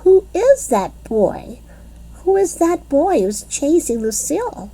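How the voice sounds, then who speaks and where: A woman voicing an eager, excitable little schoolgirl who squeals and shouts. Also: sits high, slow, voice pushed up, full character voice, poor audio. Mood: alarmed.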